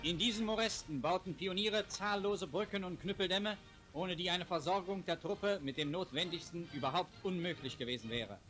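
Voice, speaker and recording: A man's voice: high voice, moderate pace, low-quality recording. A man reads scripted wartime newsreel narration in a formal, declamatory announcer style, on a 1940s film soundtrack. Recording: 1940s newsreel soundtrack, loud music behind. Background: loud music.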